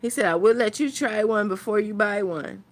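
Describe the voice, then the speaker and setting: A woman's voice: medium pitch, moderate pace. A woman chatting casually to her viewers as a vlogger, thinking aloud in a rambling way.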